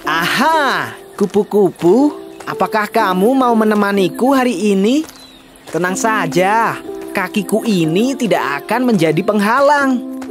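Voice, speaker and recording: A woman's voice: medium pitch, animated, unhurried, music in the background, natural voice. A woman playing a skinny, cheerful, curious young man in a children's story, with a wide-eyed, upbeat manner. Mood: cheerful, eager.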